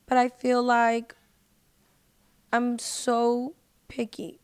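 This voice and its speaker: A woman's voice: on the high side, monotone, extremely slow, smooth, no room sound. A woman podcast guest talking in a casual, slangy way, leaning on emphatic filler words. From United States. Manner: informal, slangy, emphatic with "like" and "literally". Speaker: podcast guest, independent singer. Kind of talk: casual chat.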